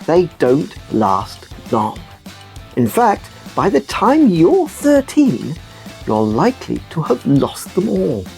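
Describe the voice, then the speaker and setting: A woman voicing a cheerful, excitable sock puppet who presents a children's news show in a playful, exaggerated way. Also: low-pitched, heavily acted. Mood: explaining. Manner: animated, exaggerated delivery. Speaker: kids' news show host.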